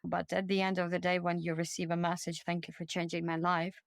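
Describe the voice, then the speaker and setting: A woman's voice: on the low side, completely flat delivery, gravelly, dry recording. Ukrainian-accented woman, earnest guest. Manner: earnest, with many "uh" pauses. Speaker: guest, charity project lead. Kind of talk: interview conversation.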